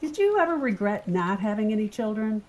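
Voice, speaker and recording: A woman's voice: medium pitch, very animated, a little fast, muffled recording, natural voice. A woman playing a sly, inquisitive office colleague in an audio drama, arch and probing. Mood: curious.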